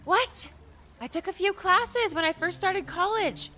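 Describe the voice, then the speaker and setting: Audiobook heroine, voiced by a man: defensive young woman, high register, animated, challenging. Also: unhurried, faint music behind, full character voice.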